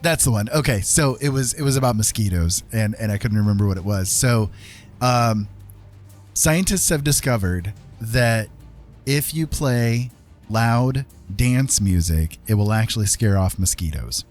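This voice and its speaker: A man's voice: on the low side, expressive, a little slow, darkish tone. A man hosting a casual livestream, chatting in an easygoing, rambling, jokey way. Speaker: livestream host and digital artist. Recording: music playing behind the talk. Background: background music.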